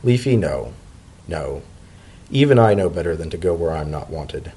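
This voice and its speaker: Man as a wry, husky pony bureaucrat. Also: low voice, lively intonation, mellow, voice pushed down, exaggerated voice. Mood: weary, wry. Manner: dry, brisk, fussily caring. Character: fussy, wry male pony civil servant, protective godfather. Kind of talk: dramatic reading of a story.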